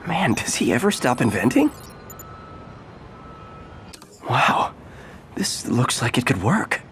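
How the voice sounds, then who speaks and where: A man's voice: medium pitch, sing-song, normal speed, a bit bright, slight background noise. A man playing an eager, awkward, good-hearted young superhero in a video game, with a casual, earnest, boyish delivery. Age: young adult.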